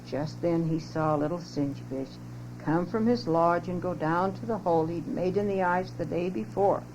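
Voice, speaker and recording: A woman's voice: lowish voice, normal speed. An older woman expressively reading a children's story aloud as a narrator. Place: home tape recording. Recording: lo-fi muffled home cassette, loud hum.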